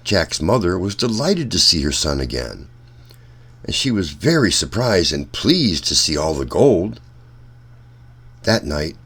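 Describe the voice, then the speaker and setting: Deep voiced guy reading a kids fairy tale, really slow and measured. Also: breathy edge. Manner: deliberate, measured phrasing. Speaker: storyteller. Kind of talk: children's read-aloud narration.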